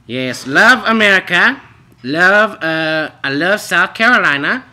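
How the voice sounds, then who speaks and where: A man acts out a naive immigrant wife on a prank call. The voice is high and very sharp, slow and halting. She presses on insistently in broken English. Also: expressive, heavily acted. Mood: halting, earnest.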